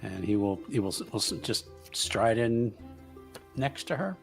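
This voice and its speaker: A man plays a tabletop game in a casual, improvised manner. His voice sits fairly low but moves around a lot in pitch, over faint background music. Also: expressive, measured pace, gravelly.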